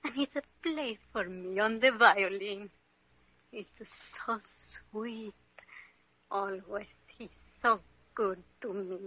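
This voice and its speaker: A middle-aged Italian mother who is ailing yet tender and loving. She speaks slow, wistful broken English with an Italian accent. The acting is classic radio drama, on a dull, dry vintage recording. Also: mid-range voice, breathy edge, no room sound. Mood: wistful, fond. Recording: muffled old radio recording.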